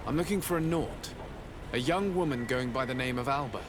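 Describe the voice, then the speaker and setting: A man's voice: mid-range voice, brisk, noisy background, lightly acted. An actor voicing a composed, courteous nobleman diplomat in a video game, polite and formal.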